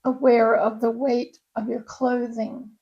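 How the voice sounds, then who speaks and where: A woman yoga nidra instructor leading a guided meditation in a gentle, soothing, unhurried way. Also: on the high side, level tone, slightly rough, dull audio.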